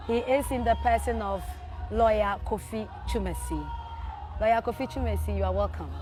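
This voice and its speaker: A woman's voice: on the high side, normal speed, over music. A woman hosting a television interview, speaking formally and prompting her guest with short questions. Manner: formal, brief prompts and frequent okays.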